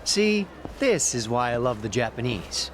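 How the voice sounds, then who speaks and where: A man's voice: medium pitch, big pitch swings, a little slow, a little hiss or hum. A man playing a slippery Hawaiian taxi driver and small-time crook in a video game, chatty and smug but cowardly, prone to stammering. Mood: smug menace. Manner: chatty, stammering when flustered. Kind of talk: English video game cutscene dub acting. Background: music.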